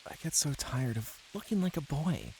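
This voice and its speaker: A man's voice: fairly high pitch, wildly swooping pitch, moderate pace, gravelly, dark tone, a little hiss or hum, voice pushed up, exaggerated voice, low-quality recording. A man voicing a restless, wistful young American wife, plaintive and insistent as she longs for things.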